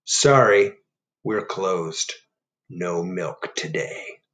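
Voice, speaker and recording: A man's voice: sits low, extremely slow, a bit bright, voice pushed down, heavily acted. A man voicing polite but demanding cows, reading their typed notes primly.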